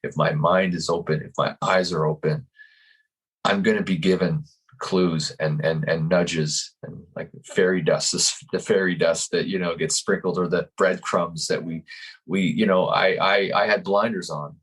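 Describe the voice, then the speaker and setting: A man in his fifties, a life coach, giving calm and earnest interview answers. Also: deep voice, slightly rough, low-quality recording. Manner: calm, earnest, speaks slowly in thought.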